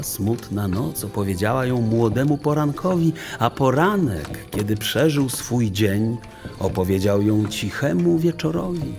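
A man's voice: on the low side, creaky, darkish tone. A man narrating a children's fantasy audiobook in a solemn, dramatic, literary storytelling manner.

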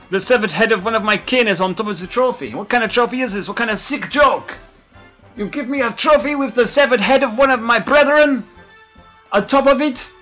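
A man doing comic gameplay commentary in a fake French accent, excitable and hammy, laughing at his own jokes. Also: high-pitched. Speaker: let's play gamer.

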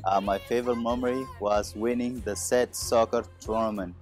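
A young man from Ethiopia, a new high school graduate, speaking outdoors with a lilting, sing-song delivery. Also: steady tone, moderate pace, soft background music. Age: young adult. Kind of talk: casual testimonial. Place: outdoors by a house.

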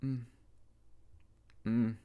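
A man's voice: very slow, phone-quality sound. A man playing a tsundere wizard, a teasing and tender best friend turned lover, in an intimate ASMR roleplay. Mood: shy, hopeful.

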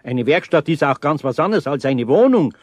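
An actor playing a kindly older carpenter with a Bavarian accent, grumbling good-naturedly. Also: male voice, a little high, expressive, normal speed, dry recording. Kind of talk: 1970s radio play acting.

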